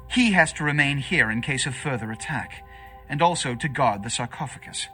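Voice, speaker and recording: Haughty, theatrical vampire prince, sweeping delivery. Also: male voice, mid-range voice, expressive, normal speed, pushed and bright, soft background music. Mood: grave, commanding. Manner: pompous, formal, grandiloquent. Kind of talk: dramatic video game voice acting.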